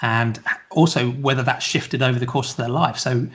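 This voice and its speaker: A man hosting a podcast, asking measured, encouraging interview questions. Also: medium pitch, fairly even intonation, a bit bright. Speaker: podcast host.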